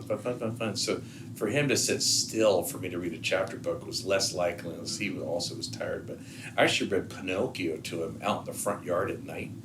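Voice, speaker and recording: A middle-aged man with a deep, low voice chats as a podcast guest, mixing anecdotes with advice. He speaks haltingly, repeating words as he thinks, over clearly audible background noise. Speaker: head of school, podcast guest.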